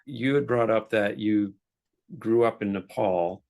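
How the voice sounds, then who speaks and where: A man's voice: fairly low pitch, a little slow, vocal fry, a little mellow. A man hosting a podcast, speaking earnestly and encouragingly in a casual interview. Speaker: podcast host.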